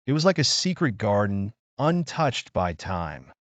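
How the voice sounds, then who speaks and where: A man's voice: fairly low pitch, sing-song, measured pace, dry recording. A man narrating a scripted children's bedtime story as a storyteller.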